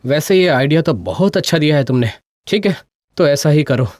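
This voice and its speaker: A man voicing a kind, supportive village husband in an animated story, easily impressed. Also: on the high side, fairly quick, dry recording, clean studio sound, lightly acted. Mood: approving. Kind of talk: animated cartoon dubbing.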